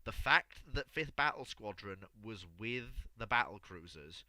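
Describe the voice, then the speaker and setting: A man's voice: on the low side, animated, measured pace, sharp tone, no echo. A man hosting an unscripted history debate, insistent and often repeating his words as he cuts in. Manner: insistent, repeats words and stammers when cutting in. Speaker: host of a live history discussion show. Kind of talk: unscripted animated group debate.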